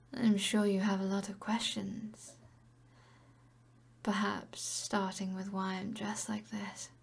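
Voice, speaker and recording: Woman as a breathy, slow-speaking British evil sorceress. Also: mid-range voice, a little mellow, heavily acted. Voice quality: breathy, whispery. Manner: slow, breathy, half-whispered, teasing and possessive. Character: alluring, commanding evil sorceress, a seductive witch. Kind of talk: ASMR roleplay.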